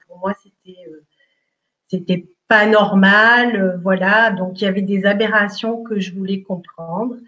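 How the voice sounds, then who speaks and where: A middle-aged woman from Italy speaking as a spiritual healer in an online workshop, slow and hesitant with many fillers. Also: medium pitch, lo-fi sound.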